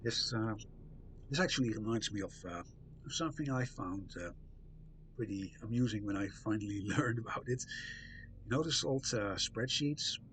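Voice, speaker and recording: A man live-streaming, chatting casually with viewers, reading chat aloud with a soft, soothing voice and self-deprecating humour. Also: on the low side, expressive, normal speed, slight background noise.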